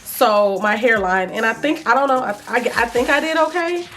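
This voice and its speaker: Female beauty vlogger, highish voice with a froggy rasp, informal and playful chat, music underneath. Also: moderate pace, a bit bright. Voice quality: slightly raspy, froggy. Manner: chatty, informal, playful asides. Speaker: vlogger reviewing wigs. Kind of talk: casual chat to viewers, beauty review.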